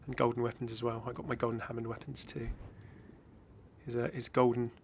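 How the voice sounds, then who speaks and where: A man hosting a gaming video with casual, excited reaction commentary in a rambling, exclamatory way. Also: medium pitch, a little fast, vocal fry, a little hiss or hum. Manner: rambling, exclamatory, chuckling asides.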